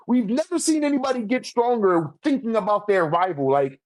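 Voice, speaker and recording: A man's voice: high voice, animated, a little fast, a little mellow, no echo. A man arguing his point in an informal, slangy way, often checking that the listener follows, as in a casual debate. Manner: repeatedly checks for agreement. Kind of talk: casual debate about a manga ranking.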